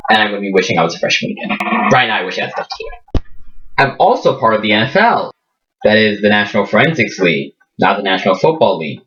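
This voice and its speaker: A man's voice: fairly low pitch, fairly bright tone, far from the mic, dull audio. Chatty teenage boy with Midwestern accent. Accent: Midwestern American with a slight Indian lilt. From India. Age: teens. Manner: chatty, self-mocking, jokey asides. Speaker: vlogger. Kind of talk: casual vlog to camera. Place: small room indoors.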